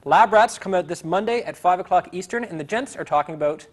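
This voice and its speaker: A man's voice: sits high, fast talker. A man hosting a show, chatting in an upbeat, joking way. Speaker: show host. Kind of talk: casual chat.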